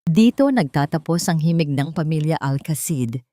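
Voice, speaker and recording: A woman narrating a scripted dramatic audiobook in a measured, expressive storytelling manner. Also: sits low, dry recording.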